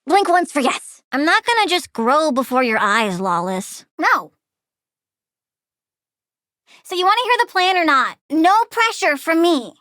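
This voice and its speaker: A woman's voice: sits high, sing-song, normal speed, few pauses, bright, forceful tone, no echo, studio quality, full character voice. A woman playing a childlike, bratty plant-girl villain in a video game, gleeful and morbidly curious, with playful, flippant bluntness. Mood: sly threat. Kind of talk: video game character voice lines.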